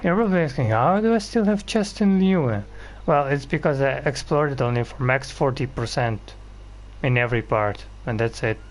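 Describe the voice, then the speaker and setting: A man's voice: mid-range voice, animated, normal speed, rough recording. A man giving casual, rambling gameplay commentary as he plays. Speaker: gaming video host. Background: video game music.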